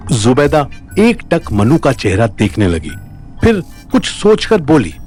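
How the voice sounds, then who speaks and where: Male story narrator with lively intonation. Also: mid-range voice, a little slow, faint music behind. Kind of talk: dramatic scripted story narration.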